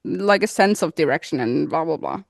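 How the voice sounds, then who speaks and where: A young woman co-hosting a podcast, chatting in an agreeable, lively way and laughing often. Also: low voice, moderate pace, creaky, dry recording, clean studio sound. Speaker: podcast co-host. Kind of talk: casual chat.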